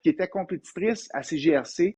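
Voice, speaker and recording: A man in his fifties with a Québécois French accent and a husky, slightly raspy voice set a bit high. He talks as a guest in a relaxed interview and tells animated business anecdotes, often restarting his phrases. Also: fast. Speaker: entrepreneur guest. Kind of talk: storytelling in a casual interview.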